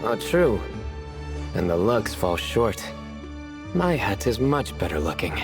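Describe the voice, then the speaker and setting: Proud young punster, deadpan yet varied in pitch, video game dialogue, soft music behind. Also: male voice, slow pace. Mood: dry, proud. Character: deadpan, proud young man who loves corny puns.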